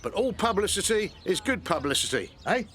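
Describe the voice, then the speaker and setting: Forceful, sardonic English pub landlord. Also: male voice, high-pitched, very animated, brisk, slight background noise. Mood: brisk, needling. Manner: sardonic, blunt. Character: touchy middle-aged pub landlord, blokey and suspicious. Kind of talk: radio soap acting.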